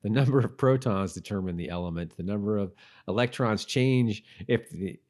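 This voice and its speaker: A man co-hosting a podcast in casual chat, talking in a halting way and repeating himself as he thinks aloud. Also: on the low side, a little mellow. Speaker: podcast co-host.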